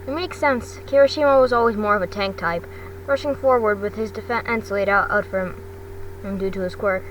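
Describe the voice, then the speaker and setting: A woman narrating a story in an amateur audiobook style, with a halting delivery full of stumbles and self-corrections. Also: mid-range voice, distant mic, slight background noise, low-quality recording. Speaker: fan fiction reader.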